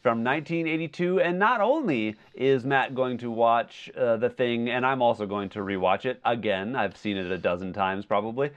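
The recording is a little dull and lo-fi. A man with a clear, smooth, medium-pitched voice co-hosts a casual movie chat. He gives his opinions in a measured way and often softens them as he goes. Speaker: podcast co-host. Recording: slightly dull, lo-fi sound.

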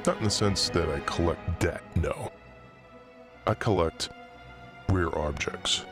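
An actor playing a brooding, theatrical occult collector, narrating a scripted horror tale in a measured way with dramatic pauses. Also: male voice, deep voice, over music. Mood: ominous, proud. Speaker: narrator and main character of horror fiction podcast. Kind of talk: scripted first-person horror storytelling.

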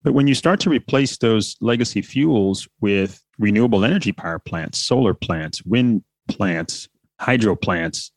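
Low-voiced man explaining steadily. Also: no echo. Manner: measured, explanatory, with light fillers. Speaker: company founder, guest. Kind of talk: interview answer, explaining.

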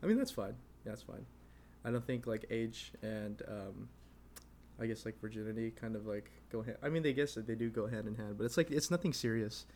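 A man's voice: fairly low pitch, brisk, darkish tone, slight background noise. A man in his twenties co-hosting a casual podcast, rambling with lots of fillers and laughing often. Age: 20s. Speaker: podcast co-host. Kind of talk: casual chat.

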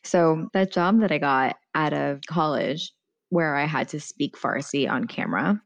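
A woman in her thirties with a noticeably low voice. She talks in a candid, chatty way, full of "like" and "you know", as a guest on a casual panel. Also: expressive, a little slow, gravelly, dull audio. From United States. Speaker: guest, former TV journalist and founder.

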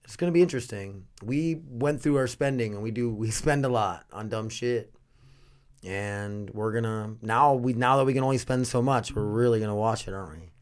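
A man's voice: mid-range voice, moderate pace, clear, resonant tone. A man hosting a casual podcast, rambling and swearing easily as he talks. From North Dakota. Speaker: podcast host.

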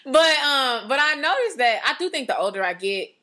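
A woman co-hosting a podcast in casual chat, loud and passionate, laughing often. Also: high voice, big pitch swings, moderate pace. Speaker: podcast co-host.

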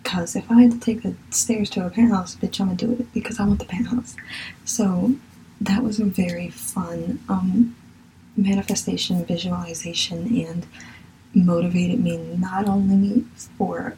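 A woman's voice: on the low side, fairly even intonation, unhurried, warm tone, slight background noise, rough recording. A young woman from Virginia with a Southern accent, chatting candidly as a podcast host, swearing casually and sometimes dropping to a whisper. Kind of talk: casual spiritual chat.

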